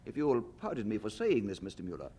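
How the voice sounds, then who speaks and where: An actor playing a cautious, skeptical colleague in an audio drama, fussy and remonstrating. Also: male voice, lowish voice, wildly swooping pitch, fast talker, mellow, slightly rough, full character voice.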